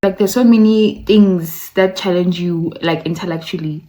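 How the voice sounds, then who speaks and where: A woman with a slightly low voice presents a casual video essay as its host. She talks conversationally, drops in playful asides and repeats words for emphasis. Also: steady tone, moderate pace, dark tone, soft background music, rough recording. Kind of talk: casual video essay with passages read aloud.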